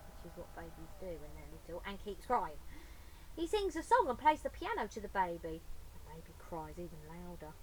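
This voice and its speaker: A woman's voice: lowish voice, darkish tone, rough recording. A woman reading a children's picture book aloud as storyteller, with playful asides to young listeners. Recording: faint background noise.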